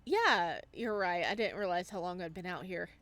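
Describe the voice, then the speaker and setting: A woman voicing an awkward, puzzled young college man in a visual novel, halting and apologetic. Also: on the low side, lively intonation, fairly bright tone. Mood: flustered. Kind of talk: visual novel character read-aloud.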